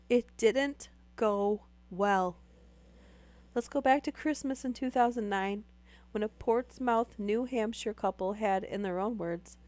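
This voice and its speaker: A young woman chatting casually with live viewers while losing her voice to a sore throat, rambling with many fillers. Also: fairly high pitch. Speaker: streamer.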